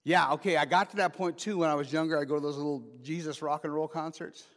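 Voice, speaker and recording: A man's voice: fairly high pitch, fairly even intonation, quick pace. A man in his fifties preaching an informal sermon as pastor, mixing joking asides with emphatic calls to the congregation. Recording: preached in a reverberant hall.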